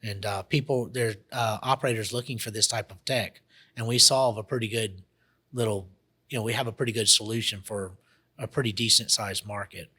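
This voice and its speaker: Bright-voiced man talking business plans. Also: on the low side, fairly even intonation, fairly quick, breathy edge. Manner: rambling, many restarts and fillers. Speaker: guest, automation entrepreneur. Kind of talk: casual radio interview.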